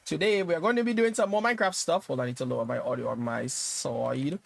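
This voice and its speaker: High-voiced, sniffly young male streamer. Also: steady tone, fast talker, gravelly. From Trinidad and Tobago. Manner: chatty, loose, sings and hums to himself while setting up. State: sniffly from dust. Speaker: livestreamer. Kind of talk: casual livestream chat.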